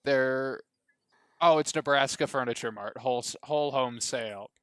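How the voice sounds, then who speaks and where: A man's voice: mid-range voice, normal speed, fairly bright tone, smooth, no echo, studio quality. A man co-hosting a podcast in casual chat, rambling, joking and cutting himself off mid-sentence. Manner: rambling, self-interrupting, joking. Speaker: podcast co-host.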